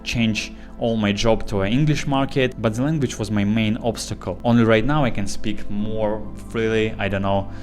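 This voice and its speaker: A man in his twenties from eastern Ukraine with a Ukrainian accent, Russian his first language, speaking in earnest, halting English as he opens up in a personal vlog monologue. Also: fairly low pitch, fairly even intonation, soft background music. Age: 20s. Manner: earnest, halting non-native English with fillers. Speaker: YouTuber.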